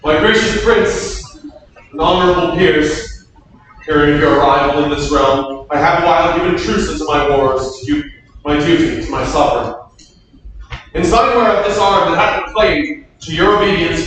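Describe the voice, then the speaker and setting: Proud, loyal medieval lord and soldier read by a male actor, declamatory stage verse, fairly high male voice, distant and very echoey. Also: a bit bright, lightly acted. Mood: formal, reverent. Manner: declamatory, formal and measured. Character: proud, loyal English war captain, a lord. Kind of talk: staged reading of Shakespearean verse. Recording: echoey hall from far off, faint noise.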